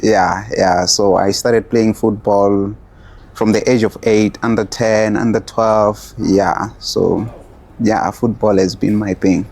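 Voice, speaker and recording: A man's voice: lowish voice, steady tone, takes it slowly, creaky, darkish tone. A South African man from Limpopo, a podcast guest, chatting casually and plainly. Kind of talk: casual chat.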